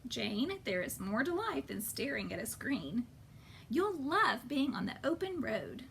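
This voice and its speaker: A storybook parent acted out by a woman reader coaxes and chides a child. The voice is high and animated, firm in manner, with some noise behind it. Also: unhurried, creaky, full character voice. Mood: chiding. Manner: coaxing, chiding. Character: storybook parent, coaxing and firmly chiding a daughter.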